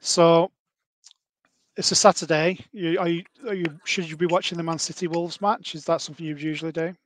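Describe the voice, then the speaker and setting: A man's voice: on the high side, fairly even intonation, a little fast, no room sound. A man in his thirties hosting a casual podcast interview, asking long rambling questions with many fillers. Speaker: podcast host.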